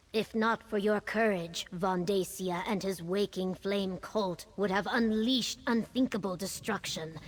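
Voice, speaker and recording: A woman playing a dignified, measured reptilian military leader in a video game, formal and stately. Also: medium pitch, husky. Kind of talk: video game character dialogue.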